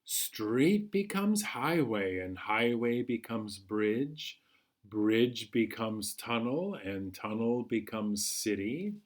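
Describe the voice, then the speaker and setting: A man hosting a children's storytime, reading a picture book aloud in a measured, singsong way. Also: medium pitch, animated. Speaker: children's storytime host at a library.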